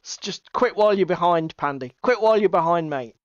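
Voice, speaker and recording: A middle-aged Englishman from the East Midlands with a smooth, clean voice, slightly dulled by lo-fi audio and with very little room sound. He hosts a casual stream, greeting chat with swearing and mock exasperation. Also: high voice, animated, fairly quick, dry recording. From East Midlands, England. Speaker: livestream host. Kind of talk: casual banter with live chat. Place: small indoor room at home.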